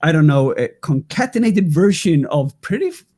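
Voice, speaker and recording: A man hosting a live stream, building excitement in the chat before he introduces the next speaker. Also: a little high, normal speed. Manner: energetic, hyping up the chat. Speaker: livestream host.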